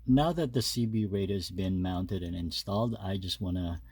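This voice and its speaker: A man hosting a DIY video, talking viewers through steps casually with halting, hesitant phrasing. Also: sits low, a little mellow, slightly rough, dry recording. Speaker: DIY car modification video host. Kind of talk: casual step-by-step tutorial talk.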